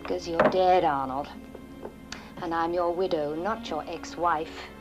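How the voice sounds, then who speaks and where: An actress playing a scornful, bitter aristocratic widow, middle-aged, with a haughty, deliberate manner. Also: female voice, on the low side, steady tone, muffled recording. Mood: bitter, mocking. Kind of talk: theatrical film acting. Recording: old film soundtrack with music behind.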